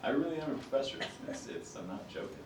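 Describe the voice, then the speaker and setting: A man teaching a class as a professor, his voice raspy right now, talking himself through computer steps. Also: mid-range voice, lively intonation, fast talker, reverberant, recorded from a distance, background noise. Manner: talks to himself while fumbling with the computer. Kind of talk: classroom lecture.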